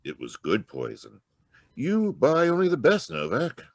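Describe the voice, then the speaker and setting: An actor playing a smug, needling homicide inspector in 1940s radio crime drama style, taunting and sardonic. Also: male voice, mid-range voice, huge pitch swings, measured pace, dry recording, full character voice.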